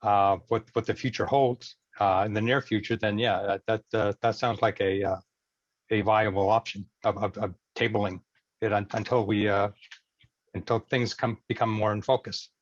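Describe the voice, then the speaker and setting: A man with a lowish voice speaks in an online meeting in a hesitant, stammering way. The audio is dry and somewhat muffled. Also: fairly even intonation, normal speed, no echo. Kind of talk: meeting discussion. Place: video call. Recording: dull lo-fi video call audio.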